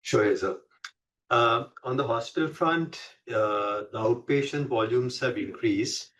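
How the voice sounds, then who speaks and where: A man presenting on an earnings call as a company executive, explaining in a measured corporate way. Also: medium pitch, fairly bright tone, recorded from a distance, low-quality recording.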